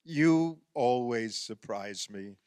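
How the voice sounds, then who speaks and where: A man's voice: medium pitch, animated, takes it slowly, darkish tone, smooth, no echo. A man playing a sardonic husband with wounded pride in a comedic radio play, sarcastic and dramatic. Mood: wounded, resigned. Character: sardonic husband, sore loser at backyard basketball.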